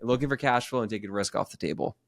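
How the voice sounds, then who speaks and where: A man in his thirties hosting a podcast, chatting casually in a quick, rambling way with many restarts. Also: mid-range voice. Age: 30s. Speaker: podcast host. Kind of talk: casual interview chat.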